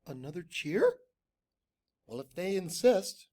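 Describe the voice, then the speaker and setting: A reader putting on a loud, brash cartoon penguin. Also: medium pitch, sing-song, takes it slowly. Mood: pleased. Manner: blaring, brash. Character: loud, clumsy, cheerful odd male penguin.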